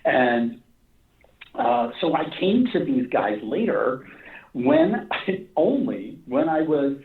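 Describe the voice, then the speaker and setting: A man's voice comes through echoey and thin, like a phone line. He is a writer in a casual interview, circling back over his phrases in a halting, rambling way as he works out his thoughts. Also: fairly high pitch, sing-song. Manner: halting, rambling, repeats phrases as he thinks aloud. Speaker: animation and comic writer being interviewed. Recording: echoey, distant, phone-like call audio.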